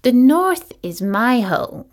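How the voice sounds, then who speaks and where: A woman voicing a kindly little old witch woman who speaks gently and sometimes in a whisper. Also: a little high, big pitch swings, takes it slowly, pitched above the performer's own voice.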